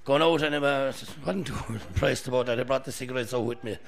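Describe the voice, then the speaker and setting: A middle-aged Irish man, a former footballer, telling stories with a laugh in a busy pub on a boom mic. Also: brisk. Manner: gravelly, laughing storyteller. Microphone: studio mic on boom.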